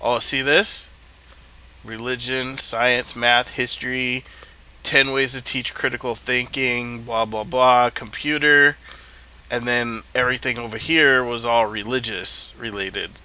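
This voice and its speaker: A man's voice: medium pitch, fairly even intonation, a little slow, bright, forceful tone, smooth, rough recording. A man casually commenting while playing a video game, rambling and thinking aloud, with a stuffy nose. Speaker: gameplay streamer. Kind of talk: casual let's-play commentary.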